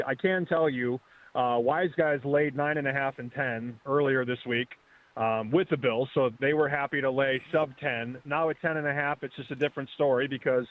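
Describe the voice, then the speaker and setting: A man in his thirties calling in as a betting guest, talking fast and casually, often restarting his words. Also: mid-range voice. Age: 30s. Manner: quick, restarts words, chatty. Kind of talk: casual sports betting chat. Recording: phone line.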